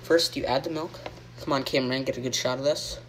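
A teenage boy hosting a joking homemade cooking show in a home kitchen, rambling deadpan through mock instructions with plenty of ums, filmed on a phone by a friend. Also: mid-range voice, fast talker. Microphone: phone camera held by a friend. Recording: noisy home phone video.

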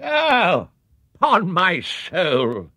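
A man voicing a pompous, flustered English gentleman factory owner in a storybook, booming and hesitant like a public speaker. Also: wildly swooping pitch, drawn-out words, husky, no echo, clean studio sound, full character voice. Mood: exasperated.

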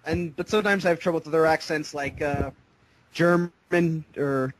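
A man's voice: fairly high pitch, normal speed, dry recording, rough recording. A man co-hosting a voice-call chat, hesitant and repeating his words. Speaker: co-host. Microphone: video call.

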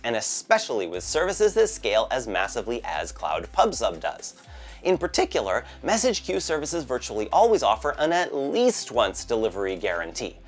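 A man in his thirties presenting scripted monthly tech news from a bright home office, with a lively, lightly joking delivery. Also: medium pitch.

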